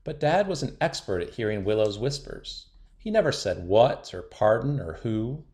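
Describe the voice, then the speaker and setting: A man with a fairly low voice reading a children's picture book aloud. He tells the story gently and expressively, at an unhurried pace. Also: very animated. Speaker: storybook reader.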